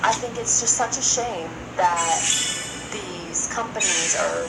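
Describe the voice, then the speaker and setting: A woman with a fairly low voice talks through her opinions on a casual podcast. She sounds earnest and exasperated as she complains. The room has a clear echo, and loud music plays behind her. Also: slow. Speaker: podcast co-host. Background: loud background music.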